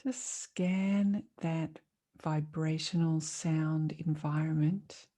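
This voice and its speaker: A woman leading a guided meditation as a teacher, speaking in a calm, soothing, measured way with long pauses. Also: sits low.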